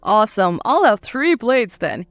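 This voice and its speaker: A woman's voice: medium pitch, sing-song, a little slow, no echo, soft background music. A woman voicing a cheerful, eager husband of about thirty in a dramatised story.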